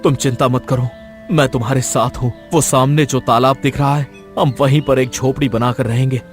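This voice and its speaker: A man's voice: medium pitch, steady tone, normal speed, lightly acted. A man playing a poor, devoted village husband and father in a cartoon, earnest and protective. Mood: reassuring. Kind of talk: Hindi cartoon voice acting. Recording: light music bed behind.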